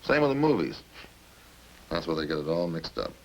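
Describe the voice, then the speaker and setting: A man's voice: low-pitched, big pitch swings, normal speed, vocal fry, exaggerated voice, rough recording. A man playing a talkative, smug murder suspect in a radio crime drama, folksy and cagey as he casually needles the police. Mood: chatty, smug. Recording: hissy old radio recording.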